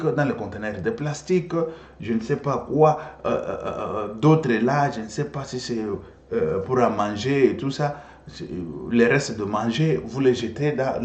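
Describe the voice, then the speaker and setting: Animated Congolese man in an echoey room. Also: medium pitch. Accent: Congolese French. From Democratic Republic of the Congo. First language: Swahili. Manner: animated storyteller, repeats phrases, switches languages. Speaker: political commentator. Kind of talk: impassioned political monologue.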